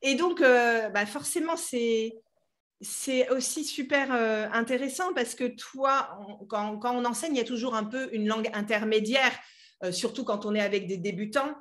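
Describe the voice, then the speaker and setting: A woman's voice: on the high side, moderate pace, fairly bright tone. A French woman hosting an interview, chatting easily with lots of fillers and laughter. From France. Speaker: host and French teacher. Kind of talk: friendly interview chat.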